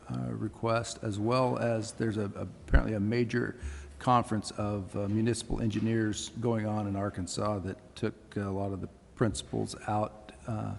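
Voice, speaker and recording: An American man speaks with a creaky, gravelly edge at an average pitch. He explains official business off the cuff. His voice rings in a large room over faint noise. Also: a little mellow, long reverb tail. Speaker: city official briefing the council. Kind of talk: unscripted explanation at a meeting. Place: indoor council chamber.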